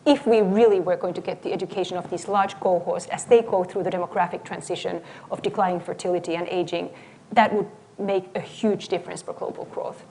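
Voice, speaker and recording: A woman from Finland with a Finnish accent, speaking as a panelist in a thoughtful, lively way. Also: lowish voice, slight background noise. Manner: thoughtful, lively, quick to agree. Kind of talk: panel discussion. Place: event hall before an audience.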